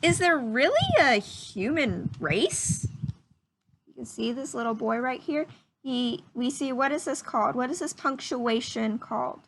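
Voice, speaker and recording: A woman's voice: fairly high pitch, expressive, measured pace, noisy background. A woman teaching young children through a read-aloud, explaining things simply and putting questions to her listeners.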